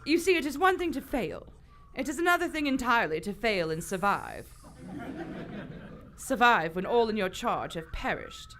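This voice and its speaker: A woman's voice: fairly high pitch, very animated, moderate pace, music in the background. A woman playing a poised, wry aristocratic British explorer in live radio theater, dry and commanding. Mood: sombre, reflective. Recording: live stage recording with faint noise.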